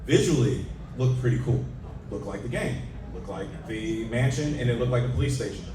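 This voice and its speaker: A man's voice: medium pitch, animated, moderate pace, music in the background. A man hosting a casual panel discussion, speaking in a blunt, opinionated way. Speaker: panel host. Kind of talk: casual convention panel talk about game movies. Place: convention panel room with audience. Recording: echoey hall, distant mic, crowd noise.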